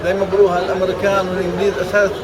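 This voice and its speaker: A man's voice: sits high, monotone, very fast, heavy background noise. A man with a Palestinian Arabic accent casually giving his opinion in a street interview. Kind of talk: casual opinion, quoting folk sayings. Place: outdoors on a street. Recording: loud busy street with vehicles.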